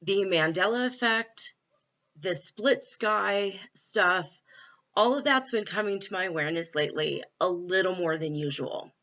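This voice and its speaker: A middle-aged woman from California chats openly into her laptop, her voice bright and a touch forceful. She fills pauses with ums and small laughs. The built-in mic gives the sound a dull, lo-fi edge. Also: medium pitch. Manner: chatty, candid, with frequent fillers and laughs. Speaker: vlogger. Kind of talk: casual spiritual vlog.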